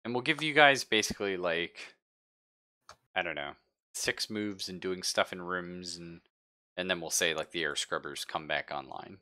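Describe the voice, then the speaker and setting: Man, very low pitch, bright forceful voice, game master, halting improvised narration, conversational. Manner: halting, conversational, describing scenes to players. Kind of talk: improvised narration of a live tabletop roleplaying game.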